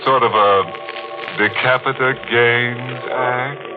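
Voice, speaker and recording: A man's voice: medium pitch, fairly even intonation, slow pace, close to an everyday voice. An actor playing a ghoulish, darkly playful horror host, narrating 1940s radio in a sardonic, ominous manner and savoring his words. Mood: gleefully macabre. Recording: muffled old radio recording with organ music.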